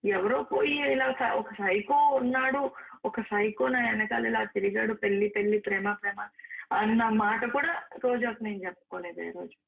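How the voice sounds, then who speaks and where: A woman's voice: mid-range voice, fairly quick, gravelly. Reproachful woman on a tinny phone line. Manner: emphatic, repetitive, reproachful. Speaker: actress. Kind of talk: heated private phone conversation. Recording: narrow, tinny recorded phone call.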